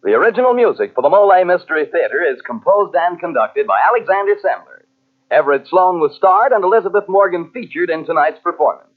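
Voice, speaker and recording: A man's voice: high voice, animated, fast talker. A man working as a radio announcer, reading scripted credits in 1940s broadcast style. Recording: tinny vintage radio recording on cassette.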